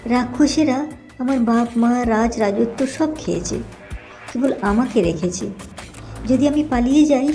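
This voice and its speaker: Woman as a high, soft-toned young princess. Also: lightly acted. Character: young captive princess, anxious yet gently coaxing. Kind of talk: audio fairy-tale acting.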